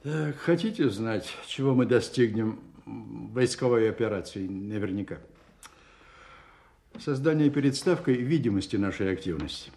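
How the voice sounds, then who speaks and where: An actor in a radio play voicing a stubborn, sardonic counterintelligence general with an emphatic, authoritative delivery. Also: male voice, lowish voice, lively intonation, normal speed, vocal fry, warm tone. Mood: sardonic, pointed. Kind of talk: Soviet-style radio play acting.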